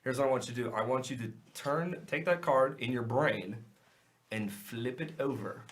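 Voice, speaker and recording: A man telling magic show stories in casual podcast chat, lively and profane. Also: medium pitch, moderate pace. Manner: animated storyteller, swears often. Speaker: magician guest.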